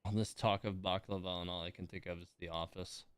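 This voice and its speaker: A man's voice: sits low, little pitch movement, fairly quick, a bit bright, slight background noise. A man giving casual livestream game commentary, rambling and muttering to himself as he plays.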